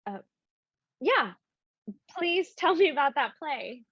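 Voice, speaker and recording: A woman's voice: high voice, big pitch swings, slow, exaggerated voice. A woman playing a polite, eager actress auditioning in an online play, agreeable and natural in delivery. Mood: tentative, polite. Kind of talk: naturalistic dialogue in an online one-act play. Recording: dull, boxy video-call audio.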